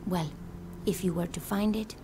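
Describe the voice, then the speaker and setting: A woman's voice: fairly low pitch, steady tone, moderate pace, noisy background, natural voice. An actress playing a confident, wry local woman researcher in video game dialogue.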